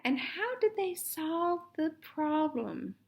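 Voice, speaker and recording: A woman storyteller and teacher reading aloud to children expressively, with deliberate phrasing. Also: sits high, very animated.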